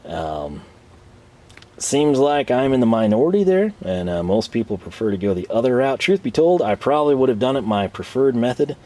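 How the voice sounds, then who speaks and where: A man's voice: medium pitch, very animated, moderate pace, warm tone. A man hosting a casual, unscripted product review, rambling and conversational with some self-deprecation, his voice a bit tired. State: tired voice starting to give out. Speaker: host of tool review channel.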